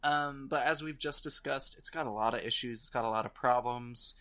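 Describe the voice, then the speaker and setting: A man's voice: medium pitch, a little fast, pushed and bright. A man chatting casually as a podcast co-host, informal and joking.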